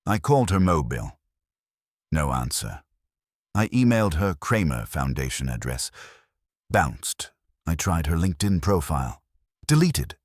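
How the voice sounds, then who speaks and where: A man reads first-person detective fiction as an audiobook narrator. His voice is exceptionally low, with lively movement in pitch across short, noir-style sentences. The recording is dry and free of room sound. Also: unhurried, long pauses, dry recording.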